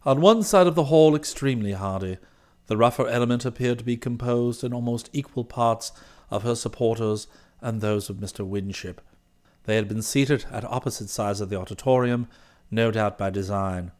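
A man voicing an unflappable, deferential English gentleman's valet in an audiobook, with upper-class British English and formal, measured, discreet phrasing. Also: medium pitch, voice pushed down, natural voice. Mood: calm, deferential. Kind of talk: audiobook character voice.

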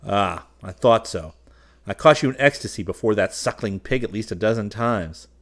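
Man playing a loud, blunt, commanding young gentleman. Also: fairly low pitch, normal speed. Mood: scolding. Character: brisk, commanding young man scolding a friend.

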